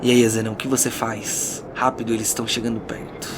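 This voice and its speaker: A man's voice: medium pitch, little pitch movement, fast, heavy background noise. A Brazilian man working as game master, narrating a fantasy tabletop role-playing game dramatically, with informal asides. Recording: music bed behind the voice.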